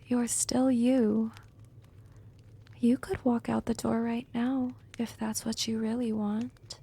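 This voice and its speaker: A woman playing a smug, gently dominant female vampire in an intimate ASMR roleplay, speaking softly and often slipping into whispers, teasing and coaxing. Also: on the high side, flat delivery, takes it slowly, exaggerated voice. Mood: earnest, coaxing. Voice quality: soft, breathy, often dropping into whispers.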